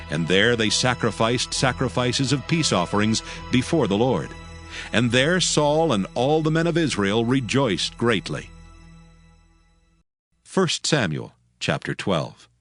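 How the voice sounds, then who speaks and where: A man narrating dramatized scripture from a script in a formal, solemn storytelling manner. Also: mid-range voice. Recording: music bed behind the voice.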